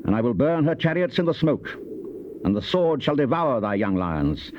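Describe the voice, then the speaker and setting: A man's voice: medium pitch, sing-song, moderate pace, lightly acted. A man acting a grave, wrathful Old Testament prophet in a solemn, declamatory scripture dramatization. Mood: grave, foreboding. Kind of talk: dramatized King James scripture reading. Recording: dull, noisy cassette tape.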